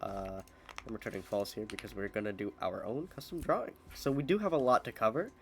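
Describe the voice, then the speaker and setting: Low-voiced young man teaching code. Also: brisk, vocal fry. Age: young adult. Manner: casual, explains step by step with frequent "uh". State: voice slightly off after being sick. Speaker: modding tutorial host. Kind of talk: screen-recorded coding tutorial.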